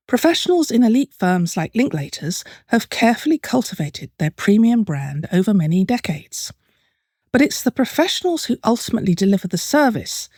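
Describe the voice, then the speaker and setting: A woman hosting a podcast, reading a measured scripted introduction and then interviewing her guest. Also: mid-range voice, a little mellow, husky, no echo, studio quality.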